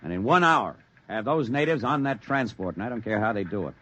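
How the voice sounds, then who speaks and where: A man's voice: lowish voice, expressive, brisk, fairly bright tone. A man playing a brusque, commanding American general in a 1950s radio drama. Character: gruff, hard-nosed American general running a bomb operation. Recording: noisy 1950s radio recording.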